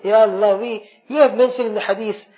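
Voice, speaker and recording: A man's voice: high-pitched, steady tone, fairly quick, a little mellow. A man leads a mosque congregation in an earnest, pleading supplication. He repeats his invocations and moves between a chanted cadence and plain speech. The recording is dull and lo-fi, with faint music underneath. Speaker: imam leading prayer. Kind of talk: emotional supplication after night prayers. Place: mosque during a night gathering.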